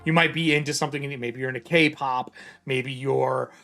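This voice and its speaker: A man acting as game master in a casual tabletop session, excitable and loose, swearing freely as he explains the setting. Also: mid-range voice, moderate pace, creaky, soft background music.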